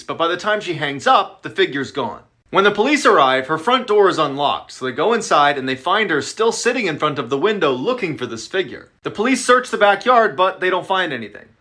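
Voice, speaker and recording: A man's voice: sits high, pushed and bright. A man narrating a scripted scary story.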